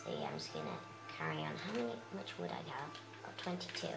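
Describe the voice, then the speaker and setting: Croaky, low-voiced young gamer. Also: female voice, huge pitch swings, quick pace, reverberant. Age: child. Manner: chatty, rambling, many fillers. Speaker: let's play host. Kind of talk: casual game commentary.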